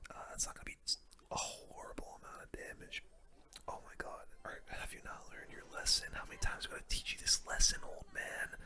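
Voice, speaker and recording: A man whispering casual, slangy gaming commentary in a breathy ASMR style, close to the microphone. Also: sharp tone. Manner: casual, slangy gamer talk. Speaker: gaming video host.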